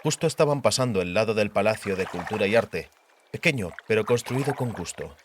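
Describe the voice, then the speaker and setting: Low-voiced man narrating a fantasy audiobook. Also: expressive, a little fast, noisy background. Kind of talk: scripted narration of a fantasy novel.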